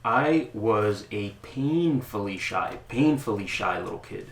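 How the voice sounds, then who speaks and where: A man with a lowish voice chats easily as an interview guest, joking around and often restarting his sentences. The recording sits in an echoey room with a faint hiss behind him. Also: slow, gravelly. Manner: relaxed, joking, frequent restarts and fillers. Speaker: interview guest, club founder. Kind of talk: casual conversational interview.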